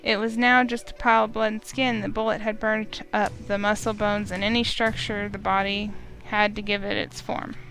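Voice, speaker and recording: A woman reading a visual novel aloud in first person, steady and expressive, with small slips. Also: mid-range voice, normal speed, vocal fry, soft background music, rough recording. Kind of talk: first-person story narration.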